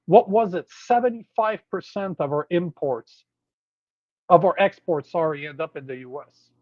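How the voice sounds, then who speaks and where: A Canadian man with a French accent, talking politics in a blunt, scornful way and swearing freely. His voice sits high for a man, and the sound is dull and very dry, as if recorded close in a small dead room. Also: moderate pace, no room sound. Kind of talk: casual political chat.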